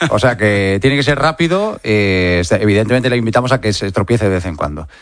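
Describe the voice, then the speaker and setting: A man's voice: on the low side, animated, brisk, dry recording, studio quality. A man with an accent from Spain putting informal, playful quiz questions as a radio interviewer. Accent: Spanish, from Spain. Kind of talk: quick-fire quiz interview.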